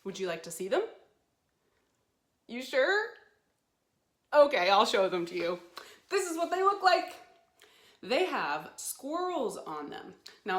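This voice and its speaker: A woman hosting a storytime for young children, talking to them in an animated way with simple questions and encouragement. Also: medium pitch. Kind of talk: children's storytime presenting to camera. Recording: roomy, echoey sound.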